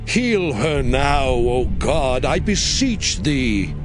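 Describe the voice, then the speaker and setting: An actor playing an earnest prophet and leader in a dramatized scripture recording, pleading with drawn-out, impassioned words. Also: male voice, mid-range voice, big pitch swings. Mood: anguished plea. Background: music.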